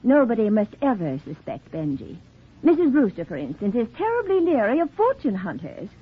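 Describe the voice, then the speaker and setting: An actress playing a proud, flustered, long-suffering middle-aged society wife in a 1940s radio play, refined and anxious in manner. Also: female voice, on the high side, wildly swooping pitch, moderate pace, breathy edge, full character voice. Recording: muffled, scratchy 1940s radio recording.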